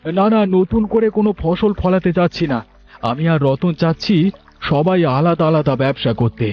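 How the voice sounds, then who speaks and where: A man's voice: a little high, moderate pace, natural voice. A man voicing a scheming, stern elder brother from a farming village in a cartoon, with an argumentative, reproving manner. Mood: firm, discontented. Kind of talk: Bengali cartoon story dubbing. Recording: dull lo-fi audio, music bed.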